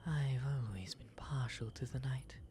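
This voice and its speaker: A man voicing a refined, gentle owl demon gentleman in a soft, breathy ASMR roleplay, speaking with courteous, eloquent phrasing. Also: medium pitch, background noise, lightly acted. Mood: calm, reflective. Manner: genteel, eloquent, unhurried phrasing. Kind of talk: ASMR roleplay, intimate character acting.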